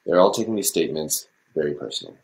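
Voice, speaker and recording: A man in his twenties playing a young school counselor in a drama. He is earnest and concerned, explaining things in a very low voice. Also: sing-song, racing, creaky, full character voice, poor audio.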